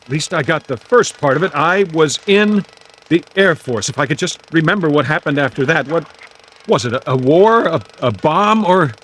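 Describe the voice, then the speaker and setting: An actor playing a lonely, distressed Air Force man with no memory, rambling to himself haltingly and wryly in a radio drama. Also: fairly high pitch, lively intonation, fairly bright tone, slight background noise. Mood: bewildered. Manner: rambling to himself, halting, wry joking turning agitated.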